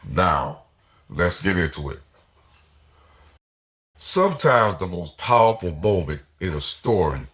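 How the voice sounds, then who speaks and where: A man's voice: deep voice, fairly bright tone. A man hosting a motivational podcast, sounding nasal and foggy from a cold, speaking with earnest emphasis. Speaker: podcast host.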